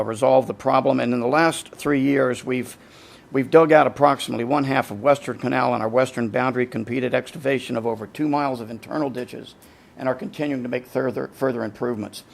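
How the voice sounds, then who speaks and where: Gravelly-voiced man giving public comment. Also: mid-range voice, fairly even intonation. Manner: earnest, halting with repeated words. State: losing his voice. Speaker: resident speaking at public hearing.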